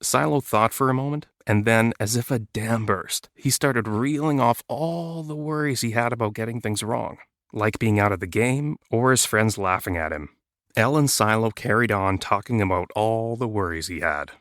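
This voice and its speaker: A man's voice: fairly low pitch, moderate pace, fairly bright tone, dry recording, clean studio sound. A man narrating a children's storybook as a guest storyteller.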